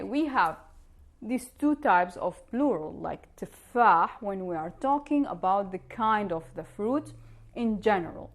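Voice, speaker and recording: A woman with a Levantine Arabic accent, a native Arabic speaker, giving an informal language lesson and explaining through examples. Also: fairly high pitch. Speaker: language teacher.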